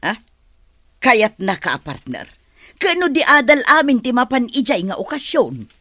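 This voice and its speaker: A woman playing a husky, scornful woman. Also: fairly high pitch, sing-song, unhurried, pushed and bright, dry recording, full character voice.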